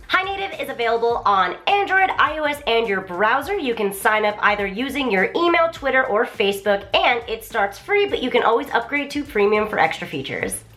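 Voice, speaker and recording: Bubbly young woman with lively pitch. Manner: bubbly, enthusiastic, chatty. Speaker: vlogger reviewing a language app. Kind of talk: casual sponsored app walkthrough.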